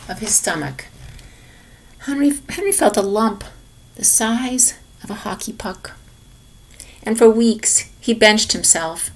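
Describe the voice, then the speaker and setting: A woman reading a children's picture book aloud in an expressive manner, sometimes dropping to hushed tones. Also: mid-range voice. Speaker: storybook reader for a children's lesson.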